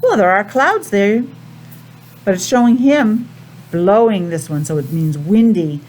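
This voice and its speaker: An American woman teaching young children a simple lesson in a sing-song, questioning way with pauses. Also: medium pitch, animated, a little mellow, slight background noise, low-quality recording. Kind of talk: children's calendar and weather lesson.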